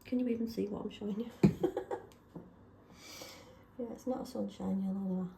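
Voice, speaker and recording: A woman chatting casually and self-deprecatingly while testing paint colours, slightly hoarse from a sore throat. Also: mid-range voice, fairly even intonation, moderate pace, warm tone, reverberant, slight background noise. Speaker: craft vlogger.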